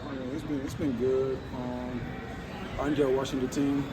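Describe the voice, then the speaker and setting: A teenage basketball recruit from Senegal, answering interview questions in a halting, casual way, full of fillers. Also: male voice, mid-range voice, flat delivery, noisy background. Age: teens. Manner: halting, many fillers like um and you know.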